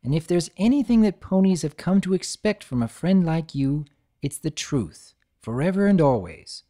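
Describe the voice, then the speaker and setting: A man voicing a cheerful, bookish young pony mare with a bright, friendly and precise manner. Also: on the high side, sing-song, moderate pace, pitched above the performer's own voice. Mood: reassuring.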